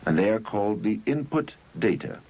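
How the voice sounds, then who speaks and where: A man reads scripted narration for an educational film in measured, instructional phrases, on an old 1971 film soundtrack. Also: lowish voice, lively intonation, dull audio, slight background noise.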